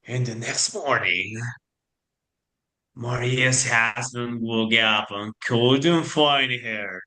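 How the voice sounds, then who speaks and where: A male narrator tells a scripted story theatrically. His delivery is extremely slow and halting, with a sharp, bright tone, in an echoey recording. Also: mid-range voice. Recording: echoey room, faint music.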